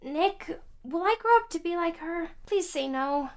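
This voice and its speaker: A woman playing an excitable, innocent but cheeky teenage girl assistant in a video game fan dub. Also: very high-pitched, unhurried, exaggerated voice. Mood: excited, nervous.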